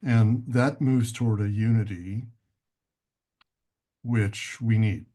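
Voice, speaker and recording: An author from the United States answers over a video call in a low, mellow voice. He lays out his views in a measured, explanatory way. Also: fairly even intonation. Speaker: author and guest. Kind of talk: interview answers.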